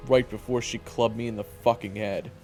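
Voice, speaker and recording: A man's voice: lowish voice, fairly even intonation, normal speed, darkish tone, soft background music, natural voice. A man playing a bitter, foul-mouthed investigator weighed down by guilt in an audio drama. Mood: sarcastic, annoyed. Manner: swearing, bitter, blunt. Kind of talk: dramatic audio roleplay acting.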